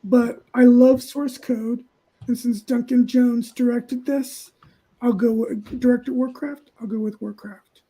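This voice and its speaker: A woman's voice: a little high, steady tone, slow pace, dark tone, husky, rough recording. A woman giving her opinions casually as a panelist. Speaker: panelist on a movie show.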